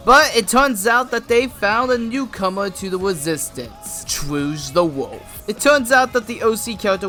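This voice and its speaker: A young man reviews games in an informal, excitable way. His voice is high and bright, moving through scripted narration with casual comic asides over faint music. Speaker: video game reviewer.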